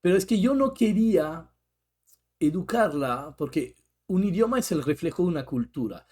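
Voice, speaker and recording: Guy, highish voice, thoughtful podcast co-host, restarts here and there. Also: big pitch swings, normal speed, dark tone, breathy edge. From French-speaking country. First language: French. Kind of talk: reflective conversation.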